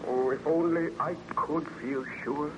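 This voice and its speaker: A man plays a tormented baron haunted by his own creation in a vintage radio play. His voice is fairly high, earnest and melodramatic. The old recording is clearly noisy. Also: fairly even intonation, unhurried, muffled recording, close to an everyday voice. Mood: weary, troubled. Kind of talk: old-time radio drama acting.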